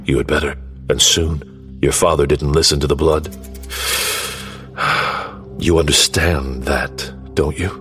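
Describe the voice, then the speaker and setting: An actor playing a solemn, wary god in mortal form, speaking in a grave, measured, formal way in an audio drama. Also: male voice, extremely low pitch, fairly even intonation, a bit bright, soft background music, full character voice. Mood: somber.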